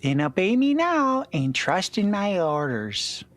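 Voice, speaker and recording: Cool imperial strategist, male performer, formal, measured phrasing with lively intonation, amateur space opera theatre. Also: sits high, heavily acted. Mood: cool, assured. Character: calculating, coolly courteous imperial admiral.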